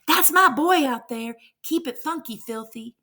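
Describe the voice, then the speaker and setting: A woman's voice: high voice, expressive, measured pace, pushed and bright, voice pushed up, full character voice. A woman voicing an enthusiastic, boastful dad who talks in playful old-school slang, in a storybook reading. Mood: proud, excited. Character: jazz-loving dad, hip, boastful, enthusiastic older-generation man.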